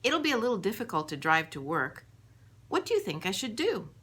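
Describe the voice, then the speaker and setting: A woman voicing a calm, unworried professional woman talking to her husband in an audiobook, mildly puzzled. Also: mid-range voice, fairly quick, breathy edge. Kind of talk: audiobook character dialogue.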